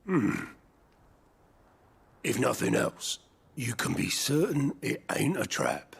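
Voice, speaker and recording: An actor playing a gruff, practical middle-aged right-hand man in a video game, gravelly and blunt, with colloquial speech. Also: medium pitch, huge pitch swings, slow pace, exaggerated voice. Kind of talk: dramatic video game voice acting.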